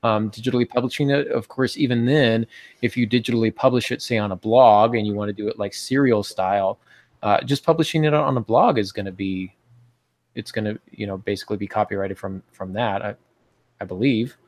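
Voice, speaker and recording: A man's voice: on the low side, brisk, darkish tone, dry recording. A middle-aged man chatting casually as a podcast host in a writers' discussion, recorded on a video call. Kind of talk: casual panel discussion on writing. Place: small home office, indoors. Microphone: earbud headset on video call.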